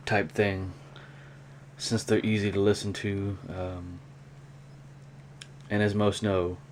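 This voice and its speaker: A man talking casually and unscripted in a hobby show-and-tell vlog, with a rambling, halting delivery. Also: deep voice. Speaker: hobby vlogger, cassette collector. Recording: clear background noise.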